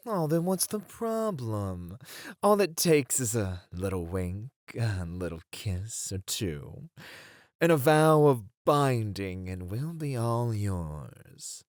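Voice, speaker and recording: Flirty incubus demon, played by a man: smug charmer, unhurried, lively swings in pitch, close dry sound. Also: dry recording. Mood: seductive, coaxing. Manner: teasing, drawn-out, playful delivery. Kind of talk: flirty ASMR roleplay acting.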